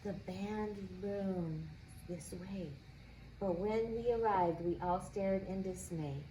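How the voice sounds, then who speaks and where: A woman reading a children's picture book aloud expressively, with deliberate, dramatic phrasing. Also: mid-range voice, warm tone. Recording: echoey room, faint background noise.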